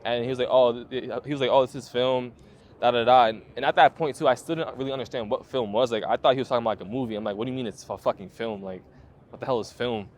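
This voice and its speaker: Fast-talking young man, slangy and loose. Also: medium pitch, steady tone. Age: 20s. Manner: laid-back, loose slangy talk with frequent fillers and swearing. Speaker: photographer guest. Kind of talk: casual interview storytelling.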